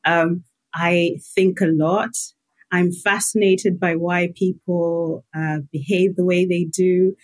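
A woman with a slightly low voice speaks as an interview guest. She reflects on her own experience, pausing often as she thinks aloud. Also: little pitch movement. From Malawi. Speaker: guest, health psychologist. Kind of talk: reflective personal interview answers.

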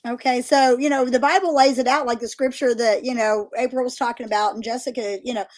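A woman's voice: a little high, steady tone, fairly quick. A woman hosting a faith-based discussion, asking her guests questions and encouraging them. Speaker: host.

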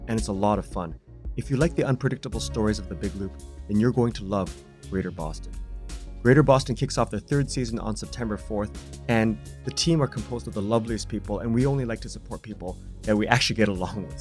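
A man with a slightly low voice hosts a podcast. He delivers announcements and personal recommendations over faint music. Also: a little mellow. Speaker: podcast host.

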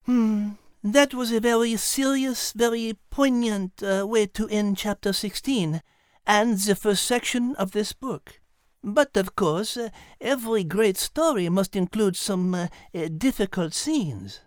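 A woman playing a thoughtful female podcast host in character, deliberate and a little hesitant. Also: mid-range voice, darkish tone, no echo, clean studio sound, close to an everyday voice. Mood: reflective. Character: Liz, thoughtful, refined female animal co-host. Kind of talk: scripted hosting in character.

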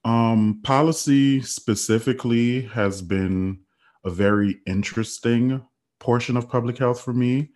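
A man from the Virgin Islands talks as a podcast guest. His voice sits very low. He speaks in a measured, earnest way and often pauses on "um.". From United States Virgin Islands. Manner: measured, earnest, with frequent "um" fillers. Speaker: guest, health policy analyst. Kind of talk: podcast interview.

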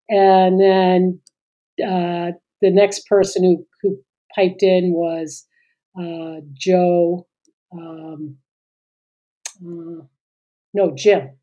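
A woman's voice: lowish voice, steady tone, very slow, long pauses, dark tone. A middle-aged woman co-hosting a podcast in casual chat, talking hesitantly with many fillers and trailing sentences. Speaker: podcast co-host.

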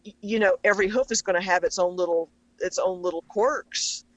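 An earnest woman hoof trimmer talks about her craft with focus. Her voice sits mid-pitch. The sound is dry and close. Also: steady tone, a little fast, no echo, lo-fi sound. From South Carolina.

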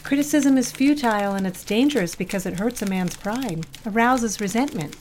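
A woman's voice: on the high side, animated, normal speed, slight background noise, natural voice. A woman playing a pragmatic, worldly office colleague in an audio drama, giving matter-of-fact advice. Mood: advising.

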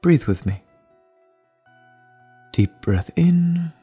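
A man playing a gentle, caring relaxation guide, leading a guided meditation in a calm, soothing, reassuring manner. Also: on the high side, drawn-out words, slightly rough, faint music behind, full character voice.

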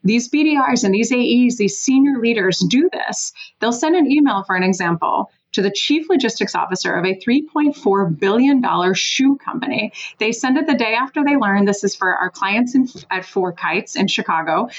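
A woman from Switzerland speaks as a sales guest, driving her points home with emphatic, animated energy and the odd casual curse. Her voice sits at an ordinary middle pitch. The recording sounds somewhat dull and lo-fi. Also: moderate pace, hardly any pauses. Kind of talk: energetic advice-giving interview talk.

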